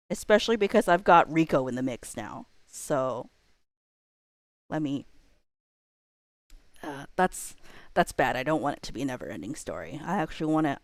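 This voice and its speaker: A young woman chatting casually on a livestream, thinking out loud and rambling as she weighs her options. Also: lowish voice, no room sound.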